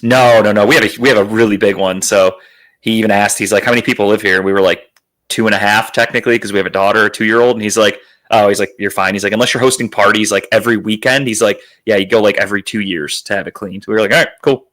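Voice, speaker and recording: A man hosting a podcast in casual chat, telling rambling, profane stories in a slightly raspy, worn, phlegmy voice. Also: lowish voice, steady tone, quick pace, a bit bright. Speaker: podcast host.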